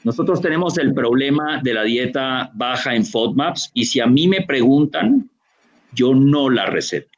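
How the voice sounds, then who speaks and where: A Colombian man with a Barranquilla accent speaks as a doctor, explaining at length and pausing often on fillers. His voice sits at a medium pitch. The sound is dull and lo-fi, as on a video call. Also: lively intonation. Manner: explanatory, many fillers and restarts. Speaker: gastroenterologist lecturer. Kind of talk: online medical Q&A answer.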